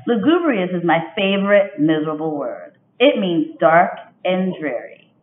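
A woman's voice: on the low side, measured pace. A moody little girl from a picture book, acted out by a woman tells her story with dramatic emphasis. The intonation swings widely. The sound is roomy and a little dull. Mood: gloomy. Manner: expressive, emphatic storybook delivery. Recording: dull, roomy home webcam recording.

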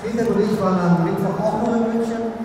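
A man's voice: sits high, fairly even intonation, fast talker. An older man joking and giving thanks while presenting flowers on stage in a sports hall. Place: large sports hall, indoors. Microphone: handheld mic. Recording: distant echoey hall with crowd noise.

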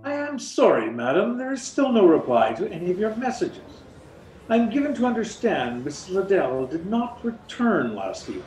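Casting: older actor as deferential hotel clerk, high voice, echoey room, formal, radio mystery. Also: male voice, huge pitch swings, normal speed, over music. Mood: apologetic. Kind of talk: period radio play acting. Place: at home on a video call. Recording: roomy home video-call sound.

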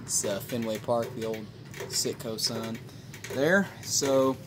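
A young man speaks casually into a handheld camera outdoors at night. He answers in short, easygoing bits at a middling pitch. Clear outdoor noise sits under his voice. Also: slow. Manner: easygoing, brief comments. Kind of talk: casual chat. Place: outdoors beside a ballpark brick wall at night.

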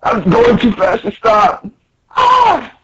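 A man voicing an excitable, scolding little cartoon adventurer who exclaims in a whiny way. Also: extremely high pitch, slow, muffled recording, slight background noise, exaggerated voice. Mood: alarmed, scolding.

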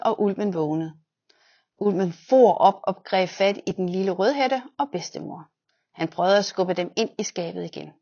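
A young woman, a children's physiotherapist, reading a fairy tale aloud in a large exercise room, lively voices for the characters. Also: medium pitch, breathy edge, dull audio. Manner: lively voices for the wolf and girl.

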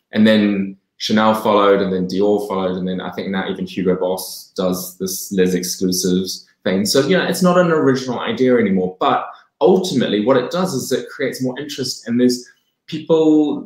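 A man in his forties with a New Zealand accent, a brand co-founder talking eagerly and laughing often in a video call interview from home. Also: on the low side. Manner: enthusiastic, quick, laughs often. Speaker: fragrance brand co-founder, guest. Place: home room, indoors. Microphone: video call webcam mic. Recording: roomy echoey home room.

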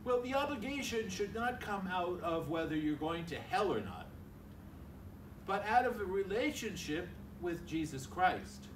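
A man's voice: high voice, big pitch swings, unhurried, background noise. An older man, a priest, giving a conversational homily at a lectern in a large church hall, filmed from a distance. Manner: conversational, acts out little dialogues. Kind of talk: sermon. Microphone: stand mic at a lectern, filmed from far.

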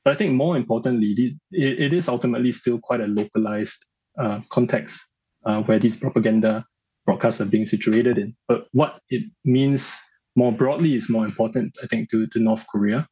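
Guy in his 30s doing news analysis on a video call, kinda husky, hesitant, slightly dull sound. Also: mid-range voice, mellow. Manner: hesitant, halting delivery with repeated phrases. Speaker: analyst guest. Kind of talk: live news interview commentary.

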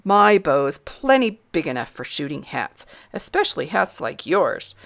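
A woman's voice: low voice, very animated, measured pace, gravelly, a bit bright, heavily acted. A woman voicing a very proud, petulant little boy duke in a storybook. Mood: scornful. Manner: haughty, petulant.

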